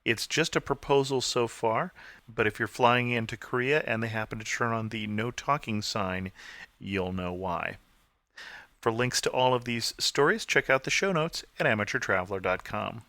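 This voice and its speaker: A man hosting a podcast, presenting and interviewing in a clear scripted-radio style. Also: mid-range voice, normal speed, pushed and bright, no echo. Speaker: podcast host.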